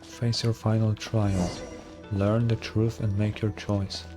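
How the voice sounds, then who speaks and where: A man reads as an ancient mystical being handing down trials in a fantasy game. The delivery is low, grave and ceremonial, with music behind it. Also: little pitch movement, slow. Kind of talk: amateur reading of game dialogue.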